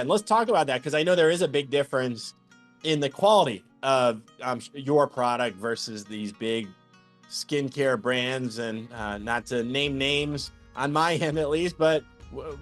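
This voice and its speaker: A man hosting a podcast interview, upbeat and chatty with frequent fillers. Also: mid-range voice. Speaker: podcast host.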